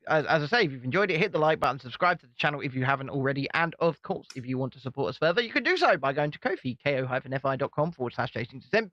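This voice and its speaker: A man co-hosting a casual commentary show, presenting in a brisk, upbeat way. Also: on the high side, fairly bright tone, no echo. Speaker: co-host. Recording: dull, dry lo-fi recording.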